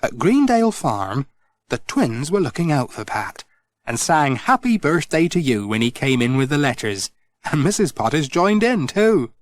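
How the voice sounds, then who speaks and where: A middle-aged man reading a children's storybook aloud, gentle and expressive. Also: mid-range voice, normal speed. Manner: gentle, expressive, sing-song. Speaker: audiobook narrator.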